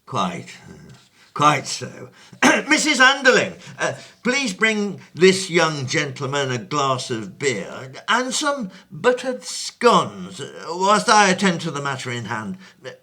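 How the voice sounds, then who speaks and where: Man as an elderly-mannered 1820s gentleman, bright lively voice, slow and dithering. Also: fairly high pitch, exaggerated voice. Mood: courteous. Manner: hesitant, full of ums and uhs, fussy and grand. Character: distinguished 1820s gentleman, bookish, genial and fussy. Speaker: actor. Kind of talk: amateur stage play audio.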